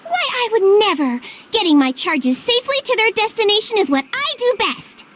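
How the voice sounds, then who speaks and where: An actress voicing a perky, skittish little fairy guide in a video game, chirpy and easily flustered, over music. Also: female voice, very high-pitched, very animated, moderate pace, very noisy. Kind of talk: animated video game acting. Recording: music under the voice.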